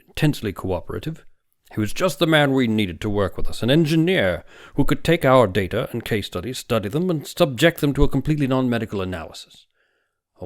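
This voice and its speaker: A man voicing a huge, domineering older doctor in an audiobook, heavy-voiced, emphatic and insistent. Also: close to an everyday voice. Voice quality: heavy, booming. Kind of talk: audiobook character dialogue.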